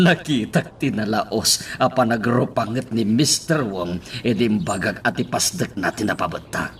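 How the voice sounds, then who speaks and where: A man's voice: on the low side, lively intonation, measured pace, slight background noise. A man acting an urgent, emphatic townsman in a radio drama. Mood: sly, amused. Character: Leon, urgent and animated man of the town.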